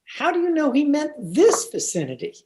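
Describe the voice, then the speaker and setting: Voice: high voice, wildly swooping pitch, moderate pace, a little mellow. An actor playing a mild, proper male schoolteacher, hesitant and formal, in an old-time radio comedy. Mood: doubtful. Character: mild, timid, proper male biology teacher. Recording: remote video-call recording.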